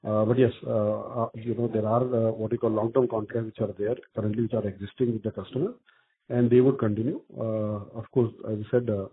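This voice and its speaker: A man with an Indian accent answering as a company executive on an earnings call, speaking haltingly with many repeated filler phrases. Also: fairly low pitch, fairly even intonation, husky. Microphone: telephone conference call. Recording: muffled narrow phone line.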